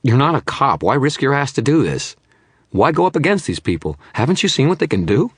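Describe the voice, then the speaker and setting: A man's voice: sits low, brisk, slightly rough, no echo, clean studio sound, pitched above the performer's own voice. A man voicing a frightened, nervous male photographer who babbles in panic. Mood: panicked.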